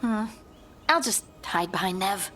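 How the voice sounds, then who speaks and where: A woman's voice: mid-range voice, expressive, unhurried, pushed and bright, faint music behind. Earnest, anxious female companion. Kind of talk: fantasy game voice acting.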